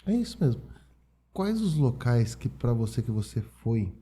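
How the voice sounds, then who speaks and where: Very dark, husky, low-pitched Brazilian man. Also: sing-song, normal speed. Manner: agreeable, brief interjections and follow-up questions. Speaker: podcast host. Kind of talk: casual interview.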